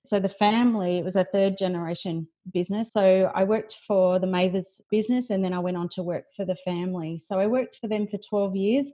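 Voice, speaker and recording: A muffled, slightly lo-fi recording of a woman with an Australian accent. Her voice is soft-edged and mellow at a middle pitch, and she chats informally as an interview guest. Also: fairly quick, hardly any pauses. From country New South Wales, Australia. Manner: chatty, informal. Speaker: podcast guest, branding expert. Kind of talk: casual conversational storytelling.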